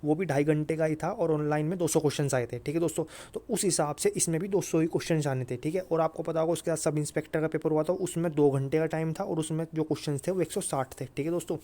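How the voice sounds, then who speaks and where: A man's voice: on the high side, quick pace, hardly any pauses, warm tone. A man with a North Indian accent explaining updates informally to viewers, repetitive and insistent, mixing in English words. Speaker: exam-update YouTuber.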